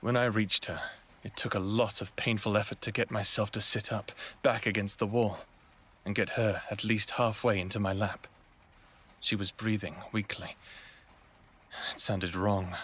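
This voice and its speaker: A man acting a wounded, sardonic human in an audio drama, narrating and speaking with a raspy, emotional, self-deprecating delivery. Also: lowish voice, fairly even intonation, moderate pace, a bit bright. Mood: guilty, shaken. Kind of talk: first-person audio drama narration and dialogue.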